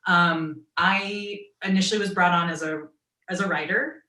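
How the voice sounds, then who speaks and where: Midwestern woman, low voice, chatty storytelling over a video call, sounds roomy and kinda far from the mic. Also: steady tone, moderate pace, sharp tone. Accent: American Midwestern. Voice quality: naturally low voice. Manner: chatty storytelling, quoting others in asides. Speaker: guest voice actor and writer. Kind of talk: online fan Q and A.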